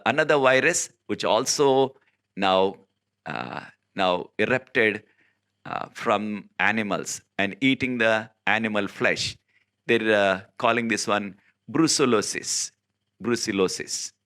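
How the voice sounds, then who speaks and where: A preacher from South India whose first language is Telugu delivers a sermon. His voice is bright and forceful, set in an ordinary middle register. He speaks earnestly, with frequent fillers and sudden, emphatic rises in pitch. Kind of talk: informal recorded sermon.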